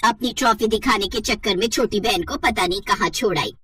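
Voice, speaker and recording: A woman's voice: medium pitch, brisk, a bit bright, slightly rough, no room sound, clean studio sound. A woman voicing a proud, eager teenage daughter with a girlish manner in a cartoon moral story. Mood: tattling.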